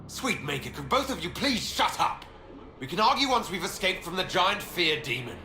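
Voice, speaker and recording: A man playing an earnest heroic leader in a fantasy video game, with an expressive way of speaking. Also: high voice, fairly quick, slight background noise, full character voice. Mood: exasperated. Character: earnest, exasperated male hero and leader. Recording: echoey, loud music behind.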